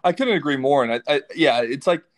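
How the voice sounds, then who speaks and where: A man's voice: mid-range voice, animated, fast, no echo. Man guest, easygoing and a bit hesitant, dry close recording. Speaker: podcast guest. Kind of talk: casual conversation.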